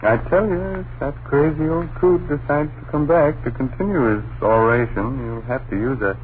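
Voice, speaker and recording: An American actor playing a sleepy, dismissive husband and father in an old-time radio suspense drama, gruffly reassuring in slangy, drawn-out exasperation. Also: medium pitch, fairly even intonation, lightly acted. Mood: weary reassurance. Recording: tinny, noisy old radio recording.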